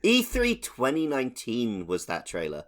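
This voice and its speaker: A man's voice: mid-range voice, huge pitch swings, normal speed, fairly bright tone. A man co-hosting a gaming podcast, talking casually and conversationally with the others. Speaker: podcast co-host.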